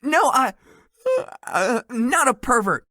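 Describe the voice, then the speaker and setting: A woman's voice: on the high side, lively intonation, takes it slowly, sharp tone, dry recording, clean studio sound, heavily acted. A woman voicing a shy, easily flustered, sweet young man in a visual novel, with a hesitant manner. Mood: flustered, embarrassed. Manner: hesitant, stammering when embarrassed. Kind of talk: visual novel character acting.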